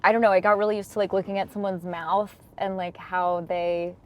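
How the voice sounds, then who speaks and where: A woman's voice: mid-range voice, a little fast. A woman in her thirties with a nasal voice, chatting casually as a guest and tossing in lots of "like". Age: 30s. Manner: animated, lots of "like", playful voice demos. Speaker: guest comedian. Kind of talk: casual chat. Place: inside a car.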